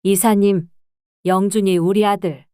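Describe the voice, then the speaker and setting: A woman plays a hesitant housekeeper in her sixties in a storytelling audio drama. Her voice is smooth and mellow, soft and deferential, with no room sound. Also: medium pitch, fairly even intonation, a little slow, no echo, studio quality, close to an everyday voice.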